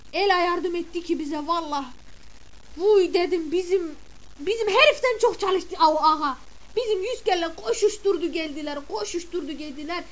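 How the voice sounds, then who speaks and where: A woman doing a shrill, gossipy village mother. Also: highs cut off. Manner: chattering, exclaiming, scolding. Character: gossipy, grumbling middle-aged village mother of the bride. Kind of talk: comic character monologue.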